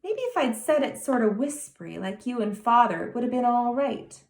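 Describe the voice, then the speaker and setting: A woman's voice: fairly high pitch, lively intonation, moderate pace, reverberant. A woman voicing a serious, earnest small boy of nearly ten in a storybook reading. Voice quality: grave, emphatic childlike delivery.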